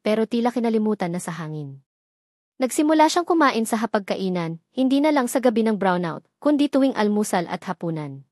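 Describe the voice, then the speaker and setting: A woman's voice: on the high side, a little mellow, no echo, clean studio sound. A woman with a Filipino accent reading a scripted, dramatic first-person story as narrator.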